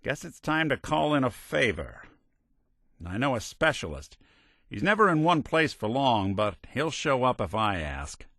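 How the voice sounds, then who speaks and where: An American actor playing a world-weary, gruff but decent synthetic private detective in a noir game, with a dry, sardonic manner. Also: male voice, mid-range voice, big pitch swings, measured pace, bright, forceful tone, dry recording, exaggerated voice. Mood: businesslike, steady. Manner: dry, sardonic, old-time gumshoe slang. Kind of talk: noir hard-boiled detective game dialogue.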